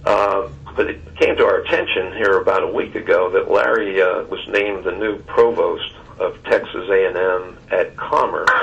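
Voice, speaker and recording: A man giving a formal briefing on a conference call in a halting, deliberate and measured way, with long hesitations. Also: low-pitched, gravelly. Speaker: search consultant reporting to a committee. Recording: dull, distant conference phone line.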